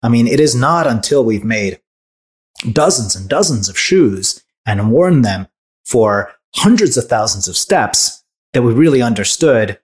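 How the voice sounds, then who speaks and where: A man's voice: mid-range voice, expressive, unhurried. A man in his forties from Hungary, a startup founder answering interview questions thoughtfully, with many restarts and fillers.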